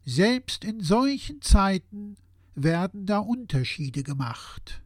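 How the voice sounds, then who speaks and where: A performer plays a sober, skeptical partner in an audio-play dialogue. The delivery is unhurried with very lively intonation, recorded dry and scripted in style. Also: medium pitch, no echo. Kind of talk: scripted satirical dialogue on current affairs.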